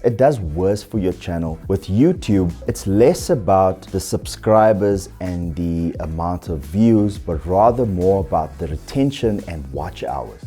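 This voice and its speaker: A South African man talking through his channel mistakes in a measured, earnest, fairly monotone way, with small restarts. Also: sits low, husky. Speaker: YouTuber. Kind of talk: reflective vlog talking to camera.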